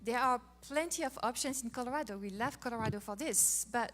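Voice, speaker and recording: A middle-aged woman from France with a French accent, giving a playful, animated talk on marriage as a co-host. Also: on the high side, a little fast. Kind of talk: casual talk to an audience.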